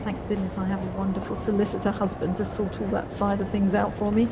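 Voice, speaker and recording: A woman in an audio play voicing a brisk, fretful, well-spoken wife with a wry, slightly snobbish manner, recorded at home on a personal device. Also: medium pitch, flat delivery, very noisy, lightly acted. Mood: brisk, dismissive.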